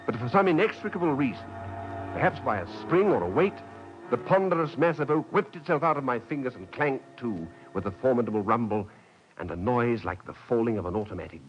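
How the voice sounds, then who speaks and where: Actor as headstrong French nobleman, animated and theatrical. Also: medium pitch, natural voice. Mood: narrating, suspenseful. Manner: theatrical, articulate, dramatic. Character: headstrong French nobleman recalling his adventurous youth. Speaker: lead actor and narrator. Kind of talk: mid-century radio drama narration and acting. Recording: slightly dull vintage radio recording, music behind.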